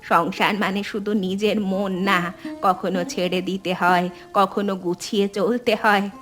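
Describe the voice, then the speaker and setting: Cartoon grandmother, acted by a woman: elderly, patient village peacemaker, measured and gently scolding, music underneath. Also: mid-range voice, level tone, close to an everyday voice. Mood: consoling. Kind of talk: animated family drama dialogue.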